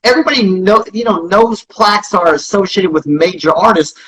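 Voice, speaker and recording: A man's voice: high voice, fairly quick, fairly bright tone, husky, low-quality recording. A man speaking emphatically as an interview guest, repeating key phrases to promote his business.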